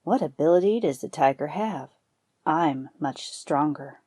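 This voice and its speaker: A woman's voice: low voice, animated, unhurried, warm tone, breathy edge, no room sound, full character voice. Woman as a proud, defiant buffalo. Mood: indignant. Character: proud, stubborn, long-suffering male buffalo challenging a tiger.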